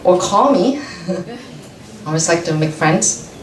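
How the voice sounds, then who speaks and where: A woman's voice: lowish voice, expressive, slow pace. A woman speaking as a guest to a class, chatty and casual, laughing often and restarting her sentences. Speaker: guest speaker, radio journalist. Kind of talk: talk answering audience questions. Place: lecture room. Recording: echoey room from far off, faint noise.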